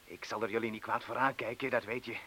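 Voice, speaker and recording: A man's voice: lowish voice, steady tone, quick pace, sharp tone. A male actor playing a trapped, frightened and impatient petty criminal in a radio drama, agitated and needling, moving between mutters and outbursts. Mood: anxious, edgy. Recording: hissy old radio play recording.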